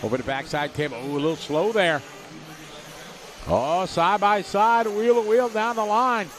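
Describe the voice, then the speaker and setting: A man calling a radio-controlled car race live, giving quick play-by-play and reading out viewer messages. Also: high-pitched, fairly bright tone, slight background noise. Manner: rapid play-by-play, reads viewer chat aloud. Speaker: race commentator.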